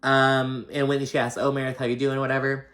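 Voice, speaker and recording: A man's voice: mid-range voice, completely flat delivery, fast, fairly bright tone. A man with a slight lisp giving casual, gossipy, sweary recap commentary, acting out quoted dialogue as he goes. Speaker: host of a reality TV recap show.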